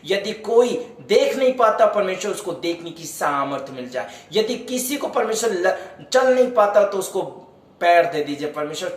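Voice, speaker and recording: A man's voice: high-pitched, reverberant. A man preaching a devotional sermon, fervently urging his beloved listeners and then praying aloud for healing. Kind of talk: sermon with worship singing and prayer.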